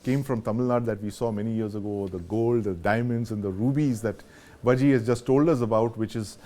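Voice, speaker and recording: A man's voice: on the low side, a little fast, warm tone. A man with an Indian accent hosting a conversational news discussion. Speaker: news show host.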